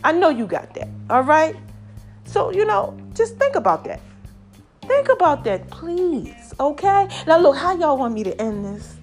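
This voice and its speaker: Animated raspy-voiced woman, high and lively. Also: unhurried, faint music behind. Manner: animated, calls herself Mama, addresses viewers as y'all. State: slightly raspy voice. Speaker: online commentator. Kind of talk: casual preachy chat to followers.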